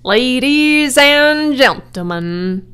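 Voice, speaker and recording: Pompous storybook fair announcer, played by a woman, grand and formal, projected loudly, raised pitch, unhurried. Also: lively intonation, smooth, exaggerated voice. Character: pompous booming fairground loudspeaker announcer. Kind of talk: storybook character voice.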